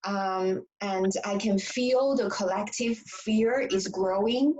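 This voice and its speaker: A woman from China hosting a casual video chat, speaking in an earnest, measured way with some fillers. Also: mid-range voice, a little mellow, slight background noise, poor audio. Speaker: host.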